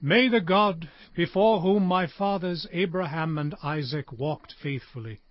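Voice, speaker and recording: An actor playing a frail aged Hebrew patriarch in a dramatized scripture reading, solemnly and deliberately pronouncing blessings and judgments. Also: male voice, high-pitched, no echo. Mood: tender, solemn. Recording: muffled lo-fi audio under music.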